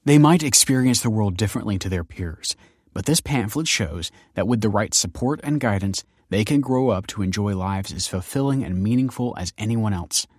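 A man's voice: fairly low pitch, expressive, normal speed, husky, no room sound, studio quality. A man voicing an earnest, apologetic female ministry counsellor with a formal, reassuring way of talking, in an audiobook. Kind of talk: audiobook character acting.

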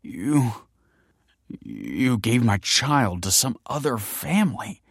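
A man's voice: mid-range voice, a little fast, no echo, clean studio sound, pitched above the performer's own voice, close to an everyday voice. A man voicing a distraught, defensive wife who pleads tearfully and justifies herself in a dramatic story reading. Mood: desperate. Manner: pleading, self-justifying, emotional. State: tearful, sniffing.

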